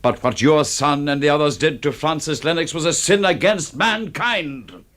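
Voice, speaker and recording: An actor playing an arrogant older aesthete villain in a radio drama, pompous, theatrical and grandly scornful. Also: male voice, on the high side, moderate pace, fairly bright tone, natural voice. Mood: grandly oratorical. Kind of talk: suspense radio drama acting.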